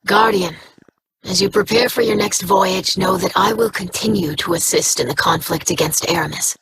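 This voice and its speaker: A woman's voice: mid-range voice, normal speed, noisy background, close to an everyday voice. An actress playing an earnest, resolute young alien woman in a video game, speaking formally.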